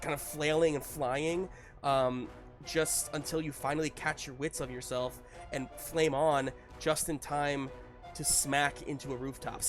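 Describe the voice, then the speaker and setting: A man narrating a tabletop roleplaying game as game master, in an animated, casual storytelling manner with slangy asides. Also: mid-range voice.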